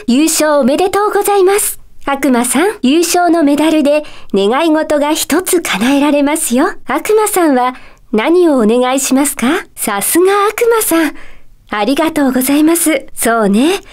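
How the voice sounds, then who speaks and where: Kindly polite lady magic teacher from a video game, really high voice, calm n composed. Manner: polite, soft feminine phrasing, composed and kindly. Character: gentle, polite female magic-school teacher with a mysterious calm. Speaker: teacher character in a puzzle game. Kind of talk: Japanese video game character dialogue.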